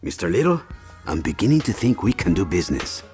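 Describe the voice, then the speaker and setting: An actor playing a calculating male drug lord in a video game cutscene, measured and smooth. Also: sits low, very animated, loud music behind the voice.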